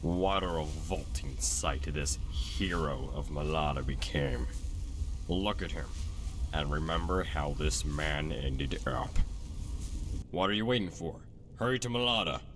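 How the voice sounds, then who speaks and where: An actor playing an ancient, world-weary demon lord in a video game, sneering and commanding. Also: male voice, rumbling low, unhurried, noisy background. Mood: scornful, commanding. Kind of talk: dramatic video game acting. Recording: music behind the voice.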